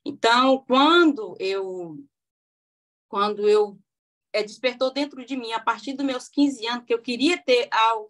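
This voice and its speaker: A woman's voice: a little high, a little slow, a bit bright. A woman in her forties, a podcast guest, sharing a faith testimony in a hesitant, heartfelt way with many restarts. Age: 40s. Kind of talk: testimony in a casual interview.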